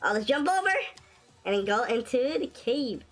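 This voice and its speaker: Excitable woman as gaming host, raspy sore throat, lively high voice, faint music bed. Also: normal speed. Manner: excitable, shrieks and laughs at wins and deaths. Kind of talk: casual gameplay commentary. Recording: faint game music behind.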